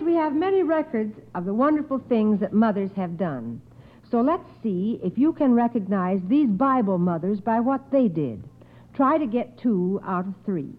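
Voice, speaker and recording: Female 1950s children's quiz host, encouraging, expressive delivery, narrow-band old broadcast sound. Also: fairly high pitch. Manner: encouraging, acknowledges each answer. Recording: narrow, tinny old radio recording.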